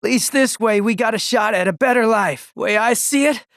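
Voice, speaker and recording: A man's voice: sits high, normal speed, creaky, fairly bright tone, dry recording, clean studio sound. A man playing a naive, hopeful treasure seeker in a video game, earnest and insistent.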